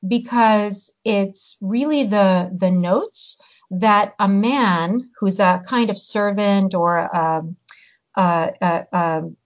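A woman, born in Hungary, chatting as an interview guest and pausing to search for her words. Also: medium pitch. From born in Hungary, raised in the US. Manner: reflective, pauses to search for words. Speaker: guest, novelist and teacher. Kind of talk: casual interview chat. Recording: slightly muffled audio.

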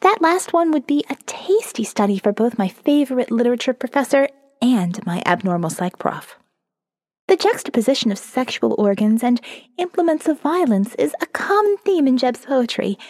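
A woman's voice: sits high, very animated, normal speed, darkish tone, no room sound, studio quality, long reverb tail. A woman reading as a sardonic young college student narrating her survivor's diary in a wry, profane, conversational way for an audio novel. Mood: wry, confiding. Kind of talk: first-person diary narration, dramatic reading.

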